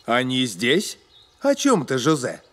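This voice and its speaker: A man's voice: mid-range voice, huge pitch swings, slow, fairly bright tone, a little hiss or hum, full character voice. A man voicing a friendly, patient adult helper in a children's cartoon, talking in an encouraging, explanatory way. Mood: friendly, curious. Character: friendly helpful adult male cartoon narrator, patient companion. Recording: music behind the voice.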